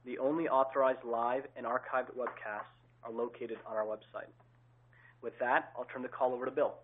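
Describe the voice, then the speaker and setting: A man's voice: medium pitch, flat delivery, moderate pace, a little hiss or hum. A man opening an earnings call, formally reading a scripted disclaimer and introducing the executives. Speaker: investor relations host. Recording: tinny phone line.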